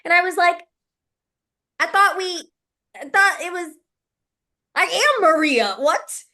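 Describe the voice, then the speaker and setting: A woman's voice: extremely high pitch, takes it slowly. A woman actress and martial artist, telling her story in a casual interview and acting out the dialogue she quotes. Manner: animated, acts out quoted dialogue in her stories.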